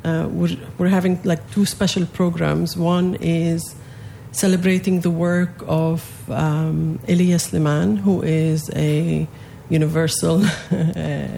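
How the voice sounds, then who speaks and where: A woman from Palestine whose first language is Arabic speaks slowly in a low, mellow register with a gravelly edge. She pauses often and restarts her sentences while answering an interviewer. A faint ambient hum sits underneath. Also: flat delivery. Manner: hesitant, many fillers and restarts. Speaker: festival organizer, guest.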